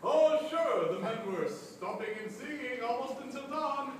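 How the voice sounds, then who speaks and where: A man's voice: very high-pitched, animated, a little slow. A man voicing a blustering, pompous Viking king who repeats himself in a live puppet show. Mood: evasive. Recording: echoey room, noisy, distant mic.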